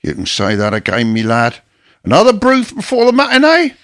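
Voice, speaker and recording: A man acting in a radio drama as a gruff, anxious, haunted older war veteran. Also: mid-range voice, huge pitch swings, fairly quick, a bit bright. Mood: relieved, warm.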